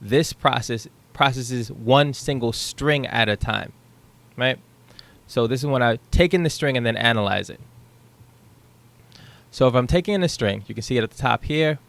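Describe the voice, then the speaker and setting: A man's voice: mid-range voice, moderate pace, gravelly, a bit bright. A young man casually walking through his code, thinking aloud with the odd um and a questioning "right?", recorded on a headset in a small room at home. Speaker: programming tutor. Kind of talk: casual screen-share code walkthrough.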